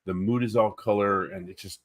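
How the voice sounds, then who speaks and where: A man co-hosting a casual art livestream, enthusiastic and quick to agree. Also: lowish voice, steady tone. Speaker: co-host and colorist.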